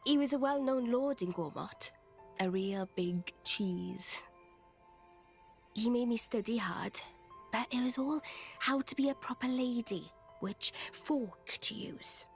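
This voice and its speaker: A woman's voice: fairly high pitch, slow pace, creaky, faint music behind. A woman voicing a feisty, blunt young former noblewoman in a video game, with a cheeky, informal way of talking. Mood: scornful.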